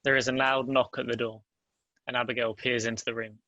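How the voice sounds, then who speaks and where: Male narrator, very bright crisp-edged tone, even-keeled range, dry close sound, scripted stage directions. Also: medium pitch, brisk, no room sound, dull audio. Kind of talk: scripted narration at a table read.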